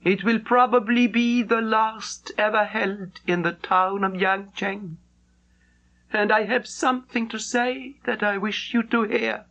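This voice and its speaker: A woman voicing a dignified male Chinese Mandarin with a formal, solemn, measured manner in an audiobook. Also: medium pitch, fairly even intonation, a bit bright, dull audio, voice pushed up. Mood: courteous, grave.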